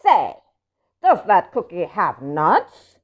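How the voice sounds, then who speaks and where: A woman's voice: on the low side, huge pitch swings, slow pace, breathy edge, heavily acted. A woman, a native Spanish speaker, voicing a grumpy, suspicious male storybook pigeon who complains with attitude. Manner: loud, exaggerated complaining. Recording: dull lo-fi audio.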